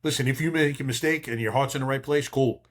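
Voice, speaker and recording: An older man with a mid-pitched voice talks like a seasoned cop hosting a podcast. He gives casual advice in a blunt, emphatic, streetwise way. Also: fast talker. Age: 50s or older. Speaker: podcast host, veteran police officer.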